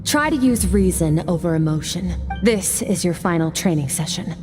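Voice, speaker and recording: An actress playing a cool, stoic warrior mentor in a video game, composed and aloof, speaking in measured phrases. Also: female voice, on the low side, lively intonation, over music, natural voice. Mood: cool, challenging. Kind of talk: scripted video game acting.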